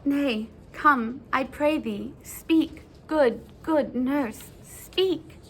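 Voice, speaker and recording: A woman's voice: sits high, drawn-out words, slight background noise, voice pushed up, heavily acted, rough recording. A woman playing an impatient, lovesick teenage girl, reciting theatrical verse in a pleading, dramatic way. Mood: pleading, exasperated.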